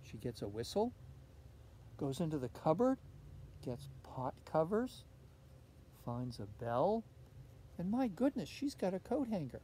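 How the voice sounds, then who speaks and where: An older man reading a picture book aloud outdoors in an expressive way, putting questions to young listeners. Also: on the high side, measured pace, pauses often, rough recording. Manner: expressive, asks tag questions. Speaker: storybook reader. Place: outdoors in a leafy fenced yard. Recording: outdoor recording with faint ambient noise.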